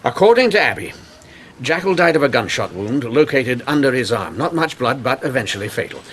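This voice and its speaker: A man's voice: mid-range voice, big pitch swings, brisk, a bit bright, slight background noise. A man playing a shrewd, formally courteous police inspector in an old-time radio mystery, with a hesitant, old-fashioned way of talking. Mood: assured, explanatory. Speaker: actor.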